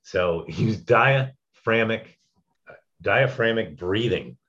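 Low deep guy going over public speaking tips, loud, laid-back and rambly, kinda muffled lo-fi audio. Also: expressive, takes it slowly. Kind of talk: casual teaching chat.

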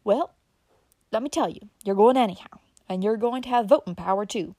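A woman reading an audiobook voices a fat, folksy old country man who talks in rural dialect, loud and excitable. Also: medium pitch, normal speed, no echo, clean studio sound, natural voice. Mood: insistent.

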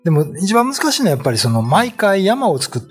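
A man's voice: sits high, sing-song, fast talker, husky, faint music behind. A man co-hosting a talk show, chatting casually in a studio. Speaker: show co-host and storyteller.

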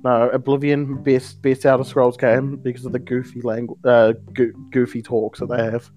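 A young man chatting loosely on a podcast, trailing off into fillers and laughing often. His pitch sits mid-range, with faint music in the background. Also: little pitch movement, normal speed, a little mellow. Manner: rambling, with lots of laughter and fillers. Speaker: podcast co-host. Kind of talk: casual joking chat.

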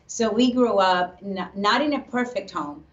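A woman's voice: mid-range voice, expressive. A woman from Puerto Rico, a pastor's wife, talking earnestly about her faith as a guest in a casual interview. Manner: earnest, faith-filled, speaks slowly with restarts. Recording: echoey, distant, dull remote audio.

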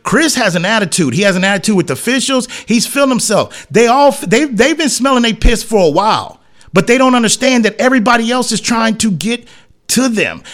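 High-pitched man giving blunt sports commentary. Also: a bit bright. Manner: emphatic, blunt, repeats phrases for emphasis; colloquial speech with double negatives and slang. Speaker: sports talk show host. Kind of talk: opinionated sports commentary monologue.

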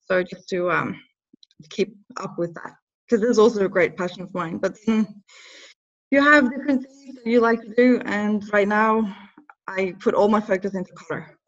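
A Norwegian woman in her thirties, with an accent mixing Norwegian and Bristol English, speaking haltingly as an interview guest and laughing often. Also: mid-range voice. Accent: Norwegian mixed with Bristol English. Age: 30s. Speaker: hair and makeup educator. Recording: dull lo-fi recording.

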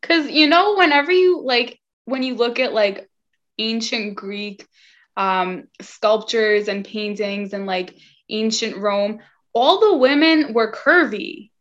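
A woman's voice: fairly high pitch, takes it slowly, a bit bright. Chatty woman host, dull lo-fi sound. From United States. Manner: chatty, heavy use of "like" and "you know". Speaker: podcast host. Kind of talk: casual chat. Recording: slightly dull lo-fi audio.

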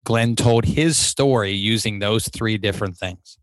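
A man's voice: lowish voice, a little slow, no room sound, rough recording. A man hosting a podcast interview, talkative and enthusiastic, rambling a little as he sets up questions. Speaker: podcast host. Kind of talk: casual interview chat.

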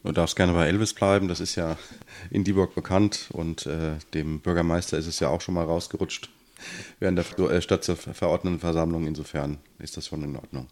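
A very deep-voiced man in his forties talks as a podcast guest. He speaks in a measured way and pauses often with fillers. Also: little pitch movement, darkish tone. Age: 40s. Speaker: city council member, guest. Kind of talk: podcast interview.

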